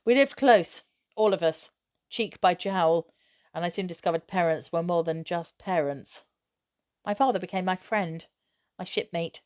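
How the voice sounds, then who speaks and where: A woman reading a children's novel aloud, narrating expressively with measured storytelling emphasis. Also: lowish voice, darkish tone.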